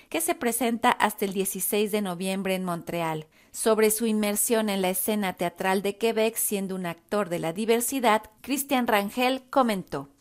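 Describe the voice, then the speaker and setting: A woman radio correspondent reading weekly news reports in a clear, friendly manner. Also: mid-range voice.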